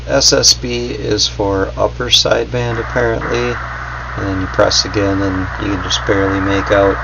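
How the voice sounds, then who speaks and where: A man giving a casual, unscripted gadget review in a hesitant, meandering, noncommittal way. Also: on the low side. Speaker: product reviewer. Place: indoors in a basement, at a workbench. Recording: dull audio, faint background hum.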